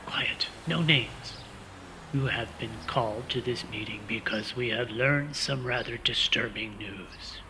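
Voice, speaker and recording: A man's voice: mid-range voice, a little slow, lightly acted. A man playing a spy in a comedic radio play, on a noisy recording. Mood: stern, exasperated. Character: comic pair of male spies, one stern, one dim.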